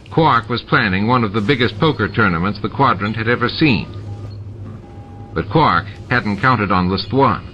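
A man narrating a novel aloud in a steady, even storytelling manner. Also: fairly low pitch, slight background noise. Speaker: audiobook reader. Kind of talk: scripted narration. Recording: dull lo-fi with music behind.

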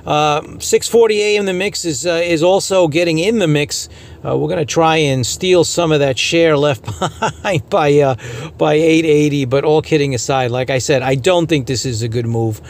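A man hosting an informal radio news podcast, giving opinionated commentary in a halting, repetitive way. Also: fairly high pitch. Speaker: radio hobbyist host giving a news report.

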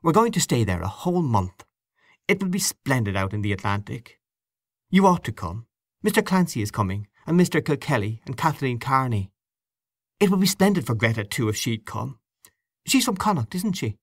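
A man's voice: mid-range voice, sing-song, normal speed, dry recording, clean studio sound, pitched above the performer's own voice. A man voicing an insistent young Irish nationalist woman who keeps pressing with pointed questions.

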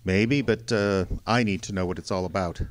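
A world-weary private detective from an old-time radio drama, played by a male actor. His voice sits low. He talks bluntly, with dry, sardonic wit, like someone who believes very little he is told. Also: normal speed, lightly acted.

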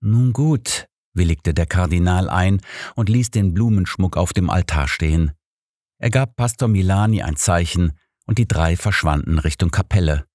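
A man's voice: deep voice, a little mellow, dry recording, studio quality. A man reading scripted narration of a detective story as an audiobook narrator.

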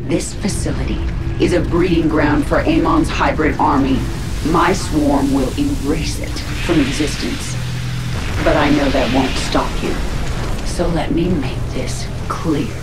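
Menacing alien hive ruler, game cinematic. Low, blunt, commanding, echo-laden delivery. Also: female voice, steady tone, measured pace. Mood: cold menace. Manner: curt, imperious, threatening. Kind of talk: dramatic sci-fi game cutscene acting. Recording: echoing voice over game music.

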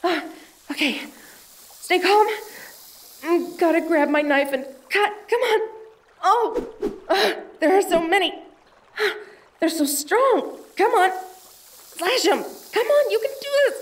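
A woman's voice: extremely high pitch, animated, slow, long pauses, exaggerated voice. An actress playing a determined young woman treasure hunter in an audio drama, talking to herself in a dramatic way. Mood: panicked, struggling. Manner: breathy exclamations, self-coaxing, dramatic. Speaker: audio roleplay voice actor.

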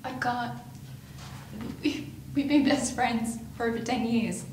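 A young woman played by an actress in screen-drama dialogue, with a fairly high voice. She is recorded in a roomy space, away from the mic, with clear hum and noise behind her. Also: steady tone, takes it slowly, darkish tone. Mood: hurt. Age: young adult. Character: young women in dramatic and comic scenes. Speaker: actress in a character reel. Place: indoor studio with a plain backdrop. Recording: roomy, distant, noisy with hum.

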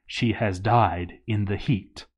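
Slow-paced man reading a game log. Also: fairly low pitch, fairly even intonation. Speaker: narrator reading a gaming playthrough log. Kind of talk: scripted narration, reading aloud.

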